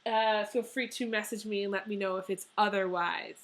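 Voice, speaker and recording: Hoarse, chatty young woman reading tarot. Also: mid-range voice, fairly even intonation, normal speed. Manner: chatty, rambling, many ums. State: hoarse, losing her voice. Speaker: tarot reader. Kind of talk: casual tarot reading vlog.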